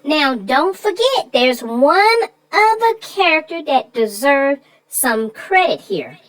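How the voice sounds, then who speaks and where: A woman's voice: sits high, sing-song, slow, no echo, poor audio. A woman co-host chatting casually about a children's story, folksy and full of sayings.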